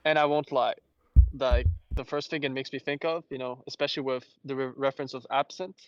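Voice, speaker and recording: A man from Belgium, a native French speaker with an accent to match, hosting a casual fan discussion in a rambling, halting way. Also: medium pitch, fairly even intonation, no room sound, highs cut off. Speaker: host.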